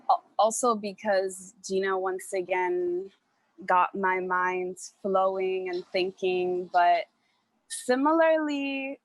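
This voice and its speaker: A woman's voice: medium pitch, no echo, rough recording. A woman from New York talks in a frank, emphatic way, dropping casual swears. Her voice sits mid-range and sounds dry and close over a video call. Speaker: panelist artist. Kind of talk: casual panel chat.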